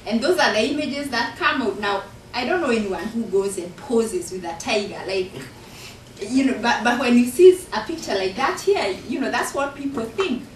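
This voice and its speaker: A woman's voice: a little high, animated, moderate pace, distant mic, slight background noise. A woman from Kenya with a Kenyan accent, an author and professor talking earnestly about her book to an audience in a university function room. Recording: echoey room recorded from afar.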